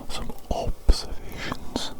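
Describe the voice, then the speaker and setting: Someone whispering as a doting evil doctor, ASMR. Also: natural voice. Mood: soothing, attentive. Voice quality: breathy, unvoiced whisper. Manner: soft, soothing, repeats phrases slowly. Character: doting mad evil doctor fussing over own creature. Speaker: ASMR roleplay performer. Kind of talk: whispered ASMR medical roleplay.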